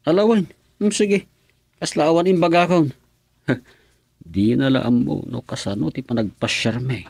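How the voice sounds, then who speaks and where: A man's voice: on the high side, unhurried, vocal fry, long pauses, darkish tone, breathy edge, dry recording, clean studio sound, heavily acted. A man playing a cheerful, lighthearted son-in-law in a comic radio drama, chuckling often. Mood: playful.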